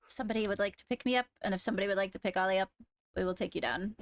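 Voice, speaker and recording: Creaky, mid-pitched woman as young fantasy royal, game roleplay; measured, composed, politely formal. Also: no room sound, lightly acted. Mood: matter-of-fact. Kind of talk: improvised Minecraft roleplay.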